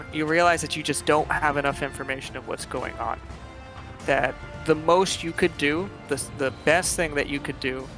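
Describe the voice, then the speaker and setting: Man, game master, higher voice, improvised narration, halting explanations, clear music bed. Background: loud background music.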